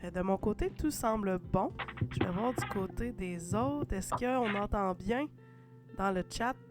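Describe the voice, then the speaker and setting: A woman's voice: medium pitch, lively intonation, a little slow, loud music behind the voice. Québécois woman host with a cold. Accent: Québécois French. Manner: chatty, frequent "euh" fillers, playful asides. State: congested with a cold. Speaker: livestream host. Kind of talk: casual livestream hosting.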